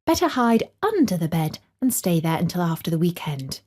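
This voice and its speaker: A woman's voice: on the low side, big pitch swings, moderate pace, a little mellow, husky. A woman voicing a blunt, alarmist newspaper horoscope in a children's story, in portentous declarations. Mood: ominous.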